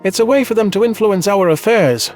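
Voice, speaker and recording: A man's voice: high-pitched, fairly quick, darkish tone, faint music behind, lightly acted. A man playing a wary, cautious male alien survivor turned political advisor in a science fiction audio story. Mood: wary, concerned. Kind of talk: audio drama narration dialogue.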